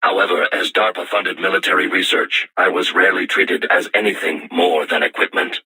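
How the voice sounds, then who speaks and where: A man voicing a solemn self-aware robot war machine in a video game, with formal, measured, stilted diction and robotic processing on the voice. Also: low voice, fairly even intonation, pushed and bright, breathy edge, exaggerated voice, poor audio. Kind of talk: scripted video game voice acting.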